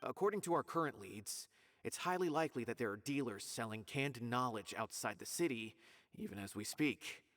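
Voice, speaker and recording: An actor playing a polite, earnest male investigator in a video game, explaining things in a measured way. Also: mid-range voice, lively intonation, fairly bright tone, lightly acted. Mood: grave, urgent.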